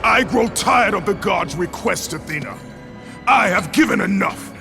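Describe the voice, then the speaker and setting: An actor playing a bitter, battle-weary male Spartan warrior in a game, gravelly, brusque and defiant. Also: sits high, animated, measured pace, over music, full character voice. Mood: angry, defiant. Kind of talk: dramatic game voice acting.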